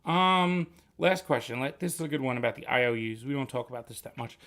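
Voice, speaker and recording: A man's voice: mid-range voice, lively intonation, fairly quick, fairly bright tone. A man hosting a casual livestream, reading viewer questions and explaining at length. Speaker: livestream host.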